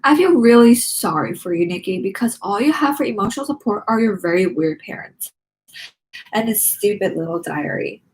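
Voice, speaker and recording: A woman's voice: mid-range voice, lively intonation, normal speed, darkish tone, close to an everyday voice, low-quality recording. A woman voicing a snobby, spoiled schoolgirl drama queen from a teen diary storybook, haughty, sugary-mean and dramatic. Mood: smug gloating.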